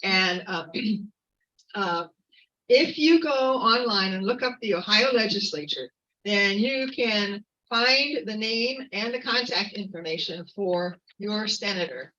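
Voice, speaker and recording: A woman giving an earnest, informal activist talk at a community meeting, halting with fillers and restarts. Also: medium pitch, animated, pushed and bright, distant mic, highs cut off. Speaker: activist speaker.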